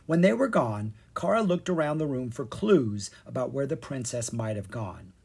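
A storyteller reading a children's storybook aloud in an expressive, animated way. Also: medium pitch, normal speed, vocal fry, husky. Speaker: storyteller, podcast reader. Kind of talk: scripted narration of a children's storybook.

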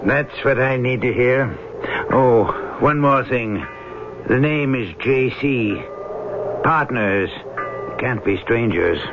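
Deliberate, commanding tycoon, unhurried authority for a muffled vintage radio play. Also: male voice, mid-range voice. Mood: satisfied, measured. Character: commanding, deliberate male wealthy ship owner. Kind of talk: radio drama acting. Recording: dull old radio broadcast, music behind.

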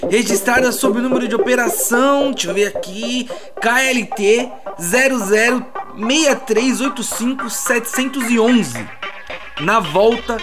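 A man with a Brazilian accent playing a flustered, foul-mouthed merchant stranded in wreckage, talking haltingly and agitatedly in an audio drama. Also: extremely high pitch. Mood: flustered, anxious. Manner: agitated, halting, swearing, muttering to himself. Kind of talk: audio drama distress message monologue. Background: music.

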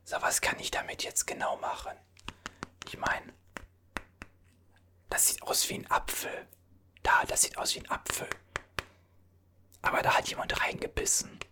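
Someone whispering as a bewildered time traveler. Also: natural voice. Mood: puzzled. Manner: puzzled, halting whisper with many restarts. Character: bewildered time traveler from the Deutsche Mark era. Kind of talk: whispered ASMR roleplay.

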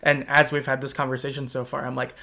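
A man's voice: mid-range voice, monotone, quick pace, vocal fry, sharp tone. A man hosting a casual podcast, talking through a game and retelling it in an informal, conversational way. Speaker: podcast host. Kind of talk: casual game discussion and plot recap.

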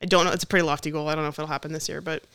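A woman's voice: low voice, fairly even intonation, racing, a bit bright, clear, resonant tone, no room sound, studio quality. A woman guest on a casual podcast, talking candidly and thinking aloud about her training. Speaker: podcast guest, competitive weightlifter.

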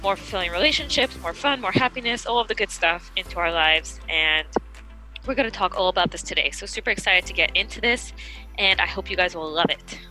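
Upbeat female health-podcast host, bright and crisp, eager delivery. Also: lowish voice, lively intonation, fairly quick, faint music behind, low-quality recording. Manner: upbeat and enthusiastic. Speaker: podcast host, nutrition practitioner and health coach. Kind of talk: podcast interview hosting.

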